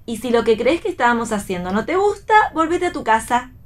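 A defiant young Argentine daughter in a radio play. Her voice is on the high side, her manner curt and sarcastic. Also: very animated, a little fast.

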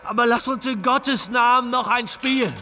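An actor playing a reckless, broke compulsive gambler in a German radio drama, with an insistent, swaggering manner. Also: male voice, very high-pitched, normal speed, music in the background. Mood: feverish bravado. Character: reckless, broke, compulsive gambler man, feverishly pushing for bets.

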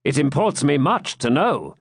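A man voicing a dignified, probing knight in an audiobook, with formal, measured old-fashioned speech. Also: a little high, very animated, creaky, husky, no echo, clean studio sound, voice pushed up, full character voice. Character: dignified, courteous, probing male champion knight. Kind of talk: audiobook character voice.

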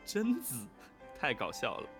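A man co-hosting a fan quiz livestream, chatting casually and echoing short words in quick bursts as he reacts to answers. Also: medium pitch, wildly swooping pitch, music in the background. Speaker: co-host of a fan quiz livestream for an audio drama.

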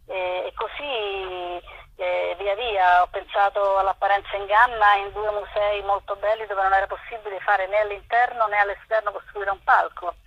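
A woman's voice: medium pitch, steady tone, moderate pace. A talkative woman explaining at length in a casual interview, speaking over a dull, lo-fi phone line. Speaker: arts programme organiser being interviewed.

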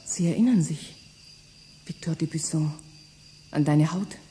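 A dark-voiced, whispering lost lover. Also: female voice, sits low, a little slow, a little hiss or hum. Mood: seductive. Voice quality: intimate, breathy whispering. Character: bitter seductive woman reclaiming her lost lover.

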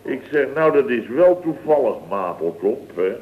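A man's voice: medium pitch, sing-song, normal speed, close to an everyday voice. An actor playing a grumbling, put-upon older man who rambles through his anecdotes indignantly in a comic radio play, acting out what others said. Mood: worked up. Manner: indignant, rambling, acts out reported dialogue. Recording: narrow-band old radio recording.

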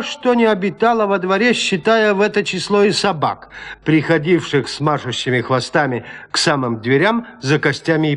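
A man narrating a classic literary tale in an audio drama, measured, with deliberate pauses. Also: a little high, a bit bright.

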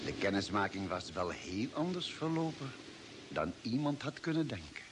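An actor playing a grave expedition member, narrating a space voyage in a radio play with measured, solemn storytelling. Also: male voice, fairly low pitch, very animated, very noisy. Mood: solemn, ominous. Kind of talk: scripted dramatic narration.

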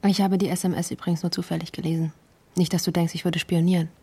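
A woman's voice: on the low side, level tone, fast, dark tone, slightly rough. An actress playing a hurt, bitter wife who confronts her partner in a naturalistic radio play, controlled but accusing.

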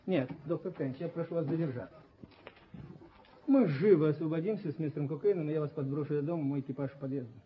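Radio play role, male actor: wheedling, self-made schemer, higher-pitched husky man, coaxing and familiar; muffled old recording. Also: quick pace, slight background noise, close to an everyday voice. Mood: soothing, insistent. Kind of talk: Soviet radio play acting.

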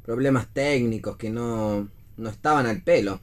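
An actor playing a skeptical, halting young theatre man delivering news offhandedly in a read radio play. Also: mid-range voice, close to an everyday voice. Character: Javier, male theatre colleague bringing bad news, skeptical. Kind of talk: staged reading of a radio play.

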